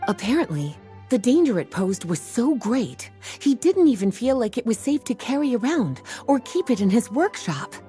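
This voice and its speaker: A woman playing a poised, knowing and gently teasing young librarian of magic in a video game. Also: fairly high pitch, very animated, moderate pace, soft background music. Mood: serious. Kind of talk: video game character acting.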